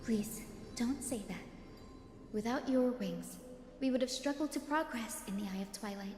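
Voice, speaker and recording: A woman playing a gentle, earnest young healer in a game, soft-spoken and politely measured, with quiet resolve. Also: on the high side, natural voice. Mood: solemn, respectful. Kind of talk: dramatic game story acting. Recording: echoey hall reverb, music behind.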